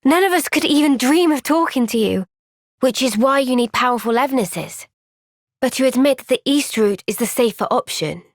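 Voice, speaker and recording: A woman playing an earnest, gentle young soldier heroine in a fantasy video game, soft-spoken, sincere and thoughtful. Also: high-pitched, expressive, moderate pace, dry recording, clean studio sound. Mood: sincere, steady. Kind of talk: video game cutscene voice acting.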